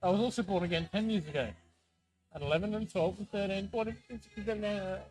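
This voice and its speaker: A drunk man in his twenties rambling and joking deadpan in casual banter on a vlog. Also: sits high, fast. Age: 20s. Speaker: vlog co-host.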